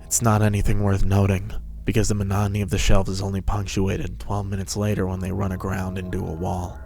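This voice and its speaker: A man's voice: low voice, monotone, brisk, creaky, a little mellow, soft background music. A man narrating a fan-fiction story as a scripted dramatic reading.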